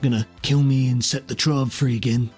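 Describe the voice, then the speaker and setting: A man voicing a proud, bitter armoured villain in a tabletop game, taunting and threatening, with a slightly husky voice. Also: medium pitch, steady tone, moderate pace, a little mellow, natural voice. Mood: accusing, taunting. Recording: music behind the voice.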